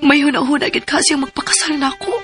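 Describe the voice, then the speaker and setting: An actress playing an anxious, distrustful young woman in a Filipino radio drama, with a Cebuano accent and a husky, creaky voice. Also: high-pitched, steady tone, fast talker. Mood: brooding, suspicious. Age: young adult. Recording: music bed under the voice.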